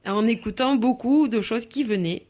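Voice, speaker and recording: A woman's voice: fairly high pitch, normal speed, a little mellow. Woman radio host interviewing a guest.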